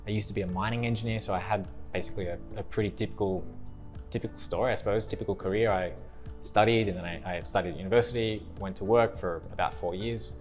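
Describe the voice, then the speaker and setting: Low-voiced Australian man, easygoing. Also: fast, faint music behind. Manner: relaxed, rambling with frequent restarts and laughs. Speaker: interview guest. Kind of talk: casual interview chat.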